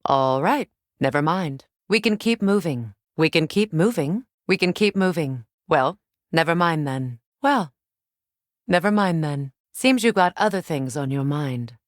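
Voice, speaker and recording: A composed Norse warrior goddess as a video game companion. Her voice sits a little low for a woman and moves expressively up and down. She speaks slowly and deliberately, with an air of command. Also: animated, no echo, studio quality. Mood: dismissive.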